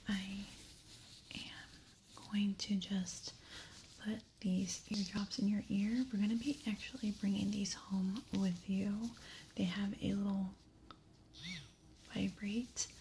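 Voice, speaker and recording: A woman speaks in a breathy whisper, playing a gentle medical examiner for ASMR. Her manner is reassuring and unhurried. There is faint background noise around the voice. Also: medium pitch, low-quality recording.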